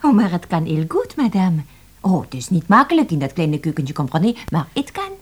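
An actress playing a chatty, unflappable young French cook in a radio play, with a French accent and cheerful talk peppered with French words. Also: female voice, fairly low pitch, sing-song, fairly quick. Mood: protesting, chatty.

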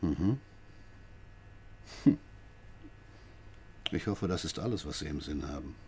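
An actor playing a sly, patronising police inspector who questions a prisoner with drawn-out, needling questions in a radio drama. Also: male voice, very deep voice, lively intonation, warm tone, a little hiss or hum, exaggerated voice. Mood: mock friendly.

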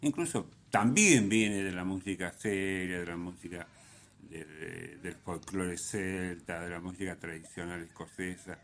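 Deep-voiced, slow-paced male radio host. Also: fairly even intonation, gravelly, fairly bright tone. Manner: halting, with hesitations and self-corrections. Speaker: radio music show host. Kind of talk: unscripted music commentary on radio.

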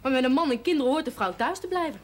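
A woman's voice: high-pitched, lively intonation, rapid-fire. An actress playing a blunt, chatty young woman looking for work as a maid, in a 1970s TV drama. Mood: cheeky. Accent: Dutch. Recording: old TV soundtrack with faint hiss.

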